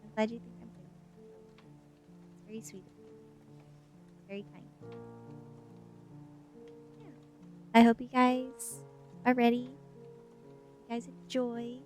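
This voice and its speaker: A woman streamer in a soft ASMR style, chatting and reading a story aloud in a gentle, unhurried manner that is hushed at times. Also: fairly high pitch, level tone. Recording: music behind the voice. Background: background music.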